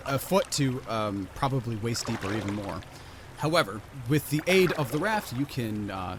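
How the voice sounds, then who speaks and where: A man running a tabletop game as game master, describing scenes in a relaxed, rambling way with many fillers. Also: medium pitch, background noise.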